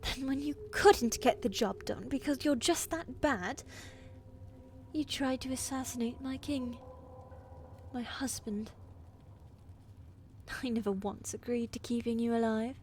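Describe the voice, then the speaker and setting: A woman playing a fierce, commanding queen in a medieval fantasy audio roleplay, imperious and threatening, with a softer side for her husband. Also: on the high side, unhurried, long pauses, slight background noise, close to an everyday voice. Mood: menacing.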